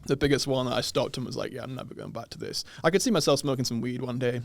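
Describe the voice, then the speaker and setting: A young man from the UK with a softened English accent, chatting casually as a podcast guest and laughing easily. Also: mid-range voice, quick pace, gravelly. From United Kingdom. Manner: relaxed, laughs often, swears casually.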